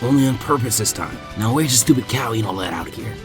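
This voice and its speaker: A man's voice: expressive, quick pace, music in the background, close to an everyday voice. A man doing a lazy, drawling young ninja. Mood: weary. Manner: low, weary drawl. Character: lazy, sarcastic young male ninja strategist.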